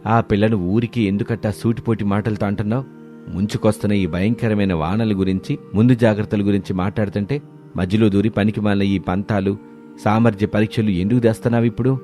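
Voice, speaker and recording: A man's voice: fairly low pitch, fairly even intonation, fast talker, dark tone, natural voice. A man voicing a kindly, concerned family man in a cartoon moral story, earnest and caring. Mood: reproachful, concerned. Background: background music.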